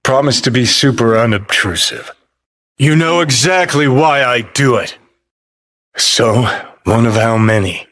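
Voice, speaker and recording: A man's voice: medium pitch, a little slow, fairly bright tone, close to an everyday voice. A male actor playing a cynical, hot-tempered rebel rocker in a cyberpunk video game, with a terse, sardonic, swaggering delivery. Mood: gruff, dismissive.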